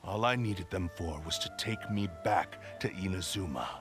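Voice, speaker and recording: An actor voicing a ruthless spirit that possesses men's bodies, speaking in grandiose, contemptuous declarations for a video game. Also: male voice, deep voice, normal speed, music in the background, lightly acted. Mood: callous. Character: ruthless male spirit possessing hosts, proud swordsman.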